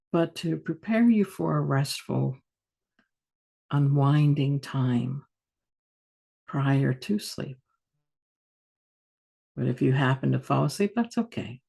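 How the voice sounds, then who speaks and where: Husky, creaky woman guiding meditation. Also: deep voice, animated, warm tone. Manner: soothing, unhurried, with long pauses. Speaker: meditation teacher. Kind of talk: guided yoga nidra meditation.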